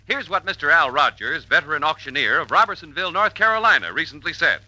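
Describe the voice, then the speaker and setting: A man's voice: a little high, very animated, quick pace, pushed and bright, no echo, rough recording. An American man announcing in an emphatic, declamatory 1940s radio commercial style.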